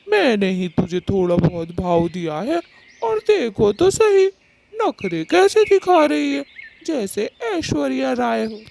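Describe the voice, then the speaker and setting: A woman's voice: a little high, very animated, takes it slowly, gravelly, noisy background, exaggerated voice. A woman voicing a vain, lecherous male bird in a Hindi cartoon, swaggering and taunting as he talks. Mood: mocking. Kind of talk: Hindi cartoon moral story dialogue.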